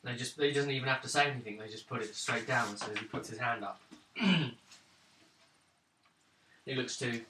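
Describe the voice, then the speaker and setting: A man narrates a tabletop roleplay game as game master, halting and offhand. His voice is very bright and sharp, picked up from a little distance in a roomy space with faint noise. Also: medium pitch, fairly even intonation. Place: indoor room at gaming table. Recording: echoey room, distant mic, faint noise.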